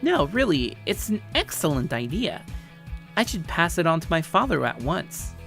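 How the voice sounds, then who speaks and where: A man's voice: a little high, sing-song, moderate pace, a bit bright, soft background music, pitched above the performer's own voice. A man voicing a tall, confident tomboy girl who teases playfully in story dialogue. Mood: playful teasing. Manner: breezy, teasing.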